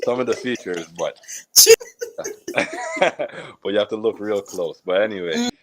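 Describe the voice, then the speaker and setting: A man from Jamaica, a podcast guest, telling his life story in a relaxed, reflective way with many fillers and small laughs. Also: on the low side, measured pace, noisy background. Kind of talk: casual storytelling interview.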